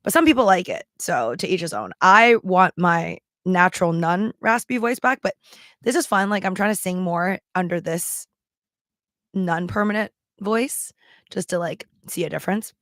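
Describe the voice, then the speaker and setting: A woman with a raspy, strained voice chatting casually to camera as a vlogger, rambling with many ums and likes while she talks around a throat candy. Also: on the low side, animated, moderate pace, a bit bright, dry recording, studio quality. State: strained, worn voice. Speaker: livestream seller and vlogger.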